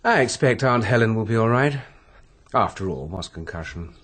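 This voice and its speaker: A mocking young British heir in a radio play. His voice is fairly low with animated, sarcastic swings, flippant throughout. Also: moderate pace. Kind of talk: radio drama acting.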